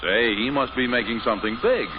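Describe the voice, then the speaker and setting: A man's voice: medium pitch, fairly quick, very noisy. A man announcing and narrating an old radio comedy in 1950s style. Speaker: show announcer. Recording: dull old radio broadcast recording.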